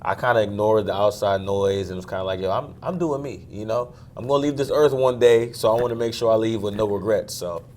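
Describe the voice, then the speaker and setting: A young man from Maryland, a singer, talking casually in an interview, relaxed and quick to laugh. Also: on the low side, slight background noise. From Maryland, USA. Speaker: guest singer.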